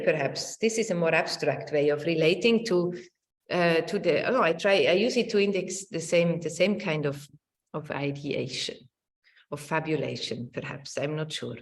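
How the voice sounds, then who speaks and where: A middle-aged woman giving a semi-improvised academic talk over a video call, haltingly searching for words and checking with a questioning tag. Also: deep voice. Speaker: academic lecturer. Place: indoor room, online presentation.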